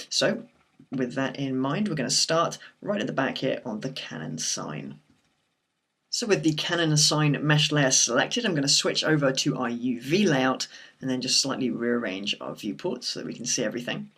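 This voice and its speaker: Husky-voiced man teaching a software tutorial. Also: medium pitch. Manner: steady explanatory walkthrough with occasional fillers. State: croaky from a sore throat. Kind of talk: screen-recorded software tutorial.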